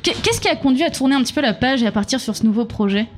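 A woman's voice: sits high, lively intonation, very fast. A woman interviewing a band on the radio, chatty and hesitant, full of fillers.

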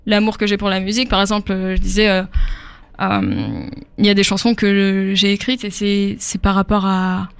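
A woman's voice: medium pitch, moderate pace, creaky, clean tone, dry recording. A young woman singer from Africa, answering interview questions casually with many fillers and easy laughs.

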